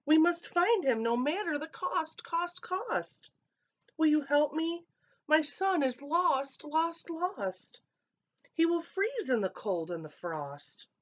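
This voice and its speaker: A woman's voice: high-pitched, sing-song, slow pace, voice pushed up, full character voice. A woman voicing a doting, dramatic frog mother in a storybook, wailing and mournfully repeating herself. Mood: wailing, desperate.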